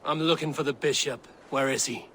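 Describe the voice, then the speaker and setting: An actor playing a bold, blunt young Viking warrior in a video game, with a commanding manner. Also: male voice, a little high, steady tone, fairly quick, a bit bright, slight background noise, lightly acted. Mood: demanding.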